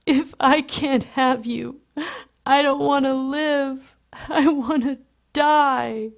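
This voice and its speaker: A woman's voice: fairly even intonation, slow, vocal fry, exaggerated voice. A woman voicing a desperate, clingy, lovesick man who pleads in a whiny, crying wail. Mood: anguished, pleading. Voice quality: high wailing, whiny.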